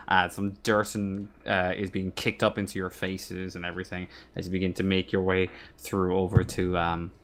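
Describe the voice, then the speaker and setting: A man running a tabletop roleplaying game as game master, improvising narration in a halting way, searching for words. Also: low-pitched, a bit bright.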